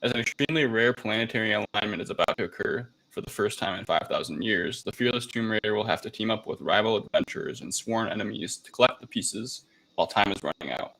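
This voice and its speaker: A man's voice: lowish voice, fast talker, gravelly, a bit bright, low-quality recording. A man co-hosting a casual movie review chat, rambling informally through a plot recap and sometimes swearing. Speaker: podcast co-host.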